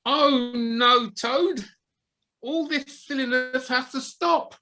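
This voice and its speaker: A woman acting and narrating in a children's audio play, expressive and deliberate. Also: high voice, heavily acted.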